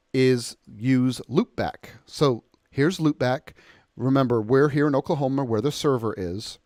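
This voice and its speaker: An American man talks through a software tutorial in a conversational, unscripted style. His voice sits at an average male pitch and is recorded close and dry. He explains things step by step in an informal way. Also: no echo. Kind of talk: informal off-the-cuff software walkthrough. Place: indoors, close dry voice-over of a screen recording.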